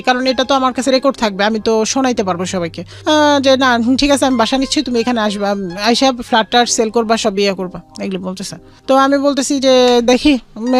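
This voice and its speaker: A young woman with a Bangladeshi accent tells her life story in an interview, quoting conversations and mixing in English words. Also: a little high.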